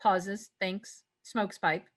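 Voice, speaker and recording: A woman's voice: mid-range voice, fairly even intonation, extremely slow. A woman narrating a screenplay, reading out scripted stage directions at a table read.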